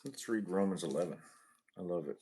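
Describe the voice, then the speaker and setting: A man's voice: low voice, expressive, fairly quick, dark tone, breathy edge. A man hosting a casual livestream, chatting with viewers in a laid-back, slangy way. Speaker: livestream host.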